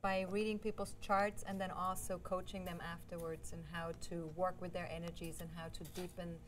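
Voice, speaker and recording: A woman's voice: fairly low pitch, flat delivery, fairly quick. Woman over audible background noise. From Vienna, Austria. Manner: explanatory, laughs easily. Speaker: guest astrologer and life coach. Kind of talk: conversational interview answers.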